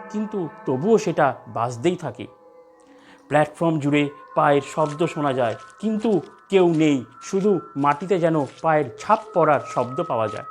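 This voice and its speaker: A narrator tells a scripted ghost story in a mid-pitched voice. The delivery moves up and down in an animated way, with soft music underneath.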